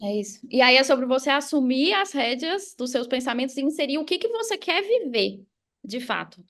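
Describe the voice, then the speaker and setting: High-voiced Brazilian woman with creaky edges. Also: sing-song, moderate pace, fairly bright tone. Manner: emphatic, repeats key phrases, uses examples and acted quotes. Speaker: podcast guest, affiliate marketer and mindset coach. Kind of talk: casual motivational explaining on a podcast.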